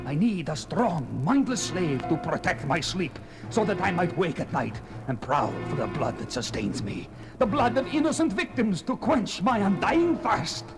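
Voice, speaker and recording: A man's voice: high voice, sing-song, normal speed, over music. An actor playing an arrogant, commanding male vampire in a theatrical, grandiose horror audio drama style. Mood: gloating, commanding.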